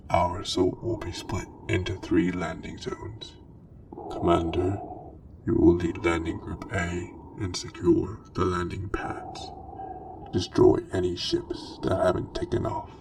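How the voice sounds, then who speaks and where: Over noticeable background noise and at some distance comes a menacing dark lord, acted out by a man, very low and gravelly. He issues military orders in a measured, breathy, commanding way, in the hushed style of an ASMR roleplay. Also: full character voice. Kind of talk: soft ASMR roleplay, scripted military briefing.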